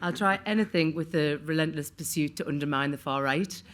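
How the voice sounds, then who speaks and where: A woman's voice: sits low, a little fast. A woman with a North East English accent giving a candid answer at a public Q&A. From north-east England. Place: on stage at a cinema Q&A. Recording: faint audience in the room.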